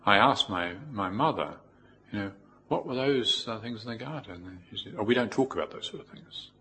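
A middle-aged man with a New Zealand accent giving an informal, reflective Buddhist talk in a measured way, with pauses. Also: lowish voice, sharp tone, slight background noise. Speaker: Buddhist monk, teacher. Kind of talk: informal spoken dharma talk with personal anecdotes.

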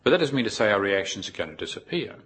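A middle-aged man with a New Zealand accent giving an informal, reflective Buddhist talk in a measured way, with pauses. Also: on the low side, big pitch swings, a bit bright, a little hiss or hum. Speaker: Buddhist monk, teacher. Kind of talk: informal spoken dharma talk with personal anecdotes.

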